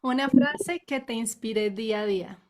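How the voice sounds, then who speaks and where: A woman's voice: fairly high pitch, normal speed, a little mellow. A woman interviewer who asks short questions and replies with brief, friendly prompts.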